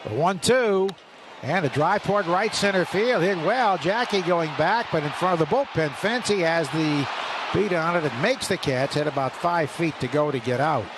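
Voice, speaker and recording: A male radio play-by-play announcer with an American accent calling a baseball game, measured and lifting on big plays. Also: on the high side. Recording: radio broadcast with crowd noise behind.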